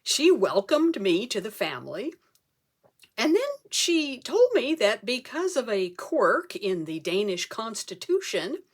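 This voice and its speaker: A woman performing a comic story as a speech, theatrical, with dramatic pauses and emphasis. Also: a little high, a bit bright. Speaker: club speaker telling a humorous tall tale.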